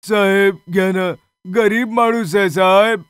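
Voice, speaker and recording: An actor playing a poor, humble street mango seller, deferential and pleading, in a horror story. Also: male voice, high voice, steady tone, a little slow, fairly bright tone, clean tone, no room sound, studio quality.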